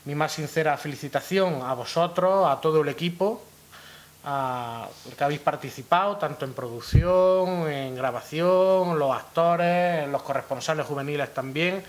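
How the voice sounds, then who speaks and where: A man, giving formal press conference remarks as an official, haltingly searching for words. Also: fairly high pitch. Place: press room at a town hall, seated at a table. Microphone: gooseneck table microphone.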